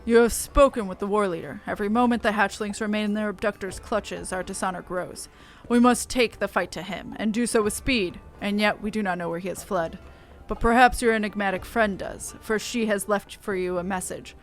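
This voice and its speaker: A woman's voice: medium pitch, a little fast, soft background music, lightly acted. Woman putting on a solemn beastman warrior elder. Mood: grave. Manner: formal, archaic phrasing. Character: solemn, honor-bound beastman warrior elder, stern and measured. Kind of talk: reading game dialogue aloud.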